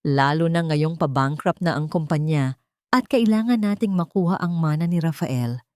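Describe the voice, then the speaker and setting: A woman's voice: deep voice, normal speed, darkish tone, dry recording, clean studio sound, lightly acted. A woman narrator with a Filipino accent voicing a cold, irritable rich villainess in a story, haughty and demanding. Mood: cold, menacing.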